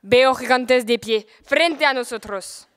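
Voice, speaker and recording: Casting: young female player for proud deluded errant knight, high voice, pompous declamation, student audio fiction. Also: slow pace, fairly bright tone, long reverb tail. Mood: defiant. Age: teenager playing the part. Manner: grandiose, declamatory. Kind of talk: amateur student audio drama.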